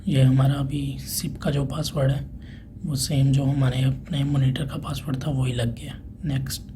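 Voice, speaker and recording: A man's voice: mid-range voice, little pitch movement, moderate pace. A man giving a plain, unscripted, step-by-step hands-on tech tutorial as an installer. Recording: clearly noisy room ambience.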